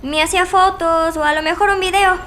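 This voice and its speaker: An actress playing an earnest young kidnapping victim giving deliberate testimony in an audio drama. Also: female voice, very high-pitched, steady tone, a bit bright. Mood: earnest, hesitant.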